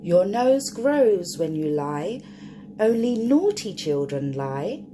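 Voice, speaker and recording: A woman voicing a kindly but stern magical fairy in a storybook, gently admonishing in a measured way. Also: fairly low pitch, sing-song, noisy background. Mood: warning.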